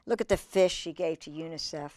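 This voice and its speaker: A woman's voice: low-pitched, no echo, clean studio sound. Woman reading a picture book to children. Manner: expressive, drawn-out emphasis on read words, conversational asides to young listeners. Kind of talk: children's storytime read-aloud with chatty asides.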